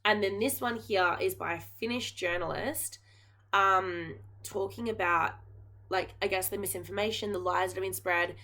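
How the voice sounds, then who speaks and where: A woman's voice: mid-range voice, moderate pace, pushed and bright. An Australian woman, a knitting podcast host and geography teacher, chatting earnestly to camera with lots of ums and likes. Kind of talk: casual chat to camera.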